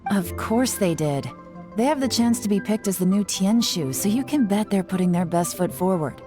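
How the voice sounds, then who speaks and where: A woman's voice: medium pitch, animated, normal speed, close to an everyday voice. A woman playing a confident, sly woman in charge in a video game, cool and self-assured. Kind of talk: video game character acting. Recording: game music playing behind.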